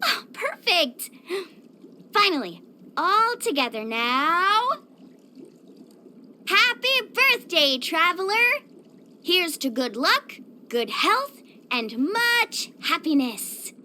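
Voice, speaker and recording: Super high bubbly surfer girl from a video game, peppy n friendly, really swoopy delivery, some noise behind. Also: big pitch swings, slow pace, pauses often, bright, forceful tone, full character voice. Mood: excited, celebratory. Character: bubbly, sporty young woman surfer, upbeat and caring. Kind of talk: scripted video game voice acting.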